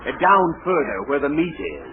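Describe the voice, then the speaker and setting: A man's voice: fairly high pitch, huge pitch swings, normal speed, phone-quality sound, loud music behind the voice. A man playing a formal, easily flustered school dean in a 1940s radio comedy. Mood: worried, exasperated. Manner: formal, stammering when flustered. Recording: thin band-limited 1940s radio, audience noise.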